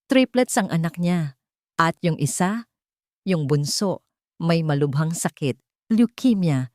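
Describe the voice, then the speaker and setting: A woman's voice: deep voice, expressive, takes it slowly, a little mellow, dry recording, studio quality. A woman voicing an earnest, deferential younger brother, serious and respectful, in a narrated romance drama. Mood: earnest, pleading.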